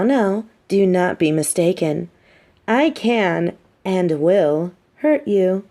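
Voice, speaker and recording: A woman performs a female supervillain holding someone captive in an audio roleplay. Her voice is mellow and mid-pitched, with a dark, relaxed edge. She taunts theatrically yet conversationally, smug and mean with a sympathetic streak underneath. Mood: condescending, menacing. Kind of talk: roleplay audio drama monologue.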